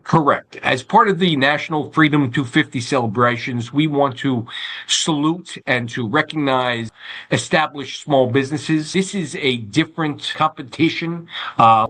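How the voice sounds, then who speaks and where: A man from Long Island with the local accent, speaking as an official interview guest in measured, formal phrasing with repeated hesitations. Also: medium pitch, a bit bright. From Long Island, New York, United States. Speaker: government agency regional administrator, interview guest. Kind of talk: interview answers, promotional and informative.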